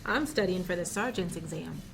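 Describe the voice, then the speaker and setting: A woman's voice: fairly low pitch, a little fast, vocal fry, slight background noise. A woman acting as an earnest, ambitious young rookie police officer in a dramatized scene. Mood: earnest, polite. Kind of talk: acted dramatized dialogue.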